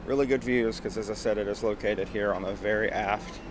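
A low-pitched man talks his way around a cruise ship, filming as he walks and explaining each spot in a friendly, unhurried way with plenty of fillers. A clear hum of air conditioning and outdoor wind runs behind his voice on the camera mic. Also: fairly even intonation. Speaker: cruise ship tour vlogger. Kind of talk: walking tour narration.

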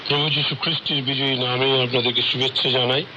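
A man's voice: medium pitch, flat delivery, fast talker, dull audio. Man on very noisy shortwave radio. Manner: formal, courteous address to listeners. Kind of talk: scripted radio announcing. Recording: noisy shortwave radio broadcast with heavy static. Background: loud radio hiss and noise.

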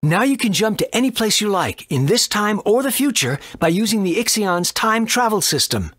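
A man's voice: sits high, very animated, moderate pace, a bit bright, no echo, clean studio sound, exaggerated voice. A man playing a helpful mentor in a video game, speaking in an instructive way.

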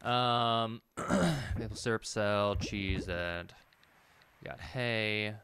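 Man thinking aloud, slow and bright. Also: lowish voice, clear, resonant tone. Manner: muttering to himself, hesitant, repetitive. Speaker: game streamer. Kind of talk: casual gameplay commentary, thinking aloud. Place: indoor room, streaming at a computer.

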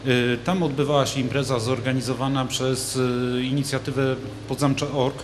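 A man's voice: mid-range voice, level tone, moderate pace, noisy background. A man in his thirties, a studio guest, speaks casually with many fillers and restarts about a local event, holding a handmade microphone. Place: large TV studio with a glass roof. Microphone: handheld mic.

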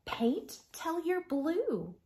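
A woman in her thirties reading a picture book to children like a schoolteacher, gently and expressively, softening to a breathy hush at line ends. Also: very high-pitched, sing-song, extremely slow, slight background noise. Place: small living room at home.

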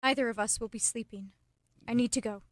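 An actress as a high-pitched young woman, radio drama, headstrong, pointed, quick-witted, close dry sound. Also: steady tone, no echo, natural voice. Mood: sad, resigned. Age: young adult. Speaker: student actor. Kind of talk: radio play acting.

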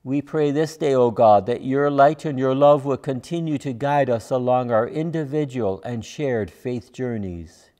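An older man, a minister, leads a pastoral prayer from a church pulpit in measured, solemn phrases. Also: medium pitch, warm tone. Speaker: minister leading worship.

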